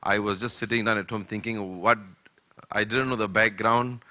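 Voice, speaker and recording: An older man from Malaysia giving a conference keynote talk, speaking slowly and deliberately with many fillers. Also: fairly low pitch, fairly even intonation, pushed and bright, no room sound. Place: conference hall.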